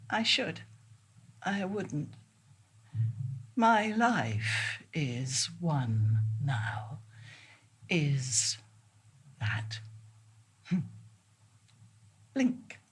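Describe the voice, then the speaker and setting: An ailing, preoccupied woman, as an actress plays her, husky and breathy, speaking slowly with big swings in pitch. The phrases halt, repeat and trail away in a theatrical monologue. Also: fairly bright tone, full character voice. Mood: wistful, confused. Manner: halting, repetitive, trailing off mid-sentence. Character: ailing, distracted woman musing obsessively on small sensations. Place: theatre stage.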